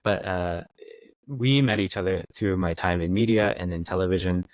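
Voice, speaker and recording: A man's voice: low voice, normal speed, no room sound. An American man in his thirties answering interview questions thoughtfully, with many fillers and restarts. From United States. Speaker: guest. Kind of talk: conversational interview.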